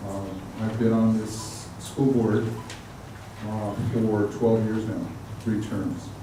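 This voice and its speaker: A man's voice: fairly low pitch, level tone, slow pace. Middle-aged guy running for school board, lots of uhs, echoey and far away. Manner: hesitant, many fillers and restarts. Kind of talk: candidate forum statement. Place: outdoor park event. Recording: echoey, far from mic, noisy.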